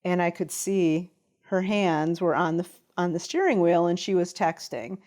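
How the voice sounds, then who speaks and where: A woman's voice: on the low side, normal speed, darkish tone. A woman guest on a talk show, telling a personal story in an interview.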